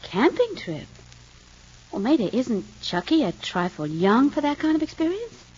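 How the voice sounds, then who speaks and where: An actress playing a gentle, hesitant wife in a 1950s radio soap opera, with earnest delivery. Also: female voice, high-pitched, very animated, normal speed, breathy edge, exaggerated voice. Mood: concerned, reassuring. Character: gentle, hesitant wife hoping to adopt an older child. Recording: dull, noisy old radio recording.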